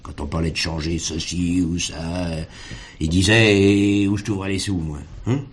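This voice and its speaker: An actor playing a plainspoken farmer in a drama, talking in a colloquial, rambling way. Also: male voice, low-pitched, fairly even intonation, normal speed, close to an everyday voice. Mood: matter-of-fact, reflective. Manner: colloquial, rambling, punctuated with verbal tags. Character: weary farmer talking about his estranged brother.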